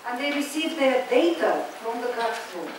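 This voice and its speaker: A woman's voice: a little high, big pitch swings, recorded from a distance, background noise. Woman lecturing in an echoey hall. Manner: measured, pauses after each sentence. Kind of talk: lecture delivered in short phrases for an interpreter. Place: lecture hall at a business school.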